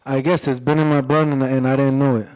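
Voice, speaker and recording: A young man being interviewed about his boxing life, talking in a relaxed, halting way with many fillers. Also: mid-range voice. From Worcester, Massachusetts area. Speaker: boxer, interview guest. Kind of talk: casual interview chat. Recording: dull lo-fi audio in a reverberant room.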